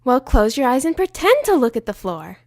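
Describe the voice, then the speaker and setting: A woman voicing a hyper, bouncy young female pony who is obsessed with candy, talking in an excited squeal. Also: high-pitched, a little fast, no room sound, studio quality. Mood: giddy.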